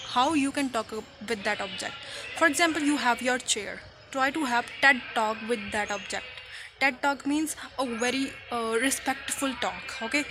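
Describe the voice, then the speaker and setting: A woman's voice: fairly high pitch, low-quality recording. A woman whose first language is Punjabi, teaching a casual English lesson in a chatty way, often checking in with "okay?" and explaining idioms.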